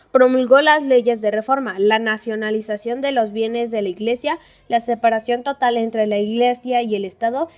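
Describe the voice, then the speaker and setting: A child reading scripted narration for a school audio drama. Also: medium pitch.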